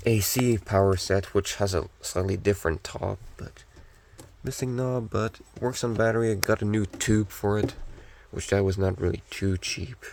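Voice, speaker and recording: A young man with a scratchy, gravelly voice from a recent illness, giving a casual, unhurried, off-the-cuff show-and-tell as a hobbyist. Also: on the low side, darkish tone. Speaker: hobbyist collector showing restored radio.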